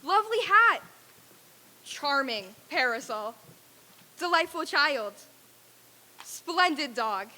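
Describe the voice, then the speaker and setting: A woman's voice: sits high, sing-song, takes it slowly, long pauses, pushed and bright, full character voice. A woman playing a reformed, overjoyed old miser in a theatrical old-time radio drama reading, with exclamatory delivery. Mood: warm joy.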